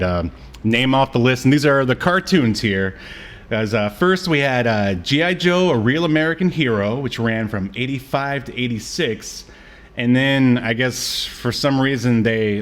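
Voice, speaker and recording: A man in his late thirties chats casually as a podcast co-host. He has an ordinary middle-pitched voice and a wry, joking way of talking, like someone bantering with an old friend. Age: late 30s. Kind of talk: casual chat about old cartoons.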